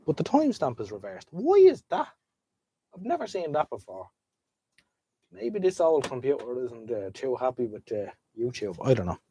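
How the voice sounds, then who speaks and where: A man's voice: fairly high pitch, a little mellow, no room sound. Sniffly Irish man chatting live. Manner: chatty, rambling, talks to viewers. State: slight head cold, sniffly. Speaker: livestream host. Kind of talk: casual livestream chat. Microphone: desk microphone.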